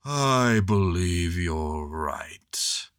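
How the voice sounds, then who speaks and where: A man voicing a gloomy old grey male donkey, slow and sighing, in a storybook reading. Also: low voice, sing-song, gravelly, pushed and bright, no room sound, clean studio sound, voice pushed down, heavily acted.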